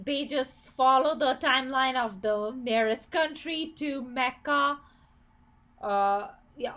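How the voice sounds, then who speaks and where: A woman's voice: on the high side, a bit bright. A woman from Bangladesh giving an earnest, critical opinion commentary, with occasional stumbles and restarts.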